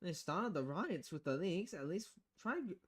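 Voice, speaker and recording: A woman's voice: lowish voice, expressive, moderate pace. A woman streaming a visual novel, reading the dialogue aloud with casual, playful commentary and switching voices between lines.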